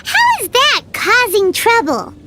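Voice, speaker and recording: A woman voicing a tiny, excitable, childlike fairy companion in an anime-style video game, exclamatory, with a squeaky voice. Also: takes it slowly, exaggerated voice. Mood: excited, curious. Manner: refers to self in third person, exclamatory. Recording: game music behind the voice. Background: video game music.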